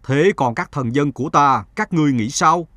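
A man's voice: on the high side, moderate pace, fairly bright tone, no echo, clean studio sound, close to an everyday voice. A man voicing a proud, stately emperor who tests his subjects in a cartoon fable. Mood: commanding. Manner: stately, commanding.

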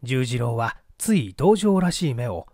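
A man narrating mystery fiction as a scripted literary reading, with measured, formal storytelling. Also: medium pitch, sing-song, darkish tone, no echo, studio quality. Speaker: literary narrator, stage performer.

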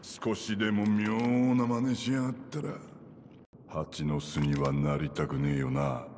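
An actor playing a gruff, blunt male rebel leader in a game cutscene, talking rough and suspicious. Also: a bass voice, flat delivery, a little slow, a little mellow, a little hiss or hum. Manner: rough, blunt street speech. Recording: game audio with music and reverb.

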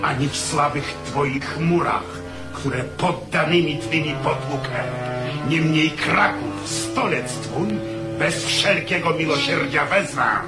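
A man's voice: a little high, slow. An actor playing a grandiose, threatening Ottoman sultan proclaiming war in a radio play. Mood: menacing. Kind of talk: declamatory radio drama acting. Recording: echoey, music behind the voice.

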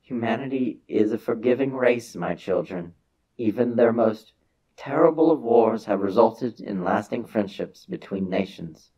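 A man voicing a grave old alien elder who recounts a war to children in a dramatic science fiction reading, with deliberate theatrical pauses. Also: fairly low pitch, lively intonation, highs cut off. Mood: solemn, stern.

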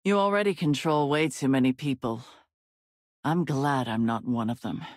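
A woman's voice: a bass voice, normal speed, a little mellow, no echo, clean studio sound. An actress playing a stern, composed woman gang leader in a video game, terse, cold and controlled. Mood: cold resolve. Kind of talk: video game character acting.

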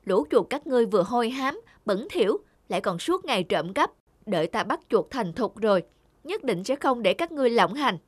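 A man voicing a grumpy but kindly male cat in a cartoon fable, speaking in a gruff, firm manner. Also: very high voice, sing-song, brisk, dry recording, exaggerated voice. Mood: gruff, scornful. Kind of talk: animated moral fable dubbing. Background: music.

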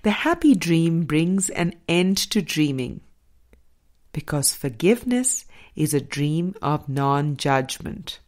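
Slow, lowish-voiced woman reading an audiobook. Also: big pitch swings, a little mellow. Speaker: audiobook reader. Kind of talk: scripted spiritual audiobook narration.

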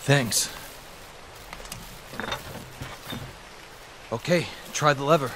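An actor playing an earnest, determined young superhero sidekick in a video game, speaking in a terse, urgent, practical way. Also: male voice, mid-range voice, moderate pace, natural voice. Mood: urgent, concerned. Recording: clear background noise.

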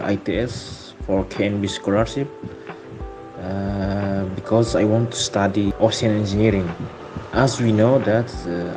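Low-voiced man from Timor-Leste. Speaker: student.

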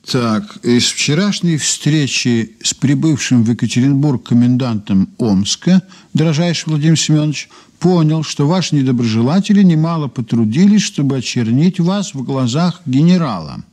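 Actor as deliberate, wry investigator in radio drama. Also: male voice, mid-range voice, lively intonation, darkish tone, natural voice. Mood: wry, expressive. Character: brisk male investigator reading old reports aloud, wryly intrigued. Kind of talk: Soviet radio drama acting.

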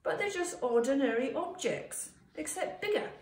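A woman's voice: high-pitched, lively intonation, normal speed, darkish tone, pitched above the performer's own voice. A woman voicing an eager, envious male amateur sculptor in a children's story, with theatrical emphasis. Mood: unimpressed. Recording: roomy, distant mic with background noise.

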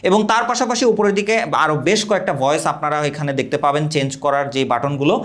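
A young man from Bangladesh giving an energetic, scripted product review and sales pitch. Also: very fast.

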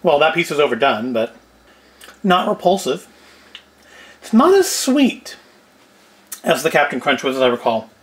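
A man's voice: fairly high pitch, sing-song, measured pace, pauses often. A middle-aged man giving casual cooking and food review commentary with dry, self-deprecating humour. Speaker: video host. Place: indoors at home, kitchen and tabletop set.

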